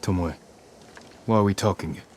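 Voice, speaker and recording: Dude doing grim samurai hero from a game, lowish voice, restrained and determined, rain noise behind him. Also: male voice, normal speed, a little mellow, natural voice. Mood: resolute. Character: grim, resolute samurai warrior, a pupil. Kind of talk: restrained dramatic video game acting.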